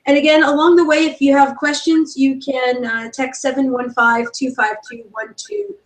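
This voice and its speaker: A woman's voice: high-pitched, normal speed, poor audio. A woman who founded a tour company, presenting slides informally with many ums and restarts and laughing easily.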